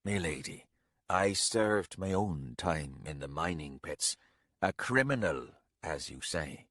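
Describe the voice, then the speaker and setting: Man doing gruff old castellan, low and slow, patient, dry close sound. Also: lively intonation, a bit bright, dry recording, heavily acted. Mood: quiet.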